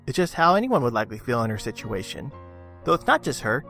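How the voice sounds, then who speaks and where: A man's voice: mid-range voice, sing-song, quick pace, gravelly, fairly bright tone. A man reading a scripted review as a video reviewer. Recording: music under the voice. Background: background music.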